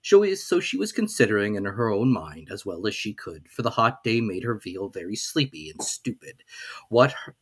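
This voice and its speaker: Animated male storybook narrator over soft music. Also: lowish voice. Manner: theatrical, scripted reading. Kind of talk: expressive audiobook storytelling.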